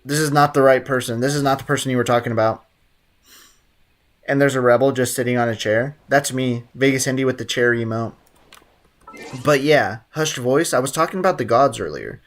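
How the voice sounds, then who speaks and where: A man's voice: medium pitch, brisk. A man streaming a video game, casually rambling, joking and chatting with viewers. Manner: rambling, joking, talks to chat. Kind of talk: casual gameplay commentary.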